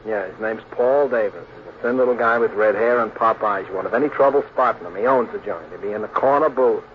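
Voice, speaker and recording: An actor playing a smooth, bossy American gambler and swindler with slangy speech, in 1940s radio crime drama style. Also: male voice, on the low side, fast, lightly acted. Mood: smooth, persuasive. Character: slick menacing male big-time gambler and swindler. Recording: tinny noisy old radio broadcast.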